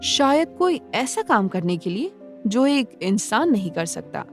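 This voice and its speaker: A woman's voice: fairly high pitch, sing-song, a little slow, soft background music. A woman voicing a mysterious young woman, probing and knowing, in an audio horror drama. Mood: sly, probing.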